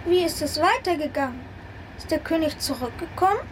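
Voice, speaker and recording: A woman's voice: high-pitched, lively intonation, fairly quick. A woman in a radio drama playing a curious, insistent young listener who eagerly questions a storyteller. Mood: eager curiosity. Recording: noticeable background noise.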